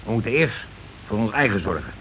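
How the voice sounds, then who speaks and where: An actor playing a domineering, self-justifying working-class husband in a radio play, talking in an insistent, colloquial, repetitive way. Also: male voice, fairly low pitch, sing-song, a little fast, fairly bright tone. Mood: agitated, insistent. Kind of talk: Dutch radio play acting. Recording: dull, noisy old radio recording.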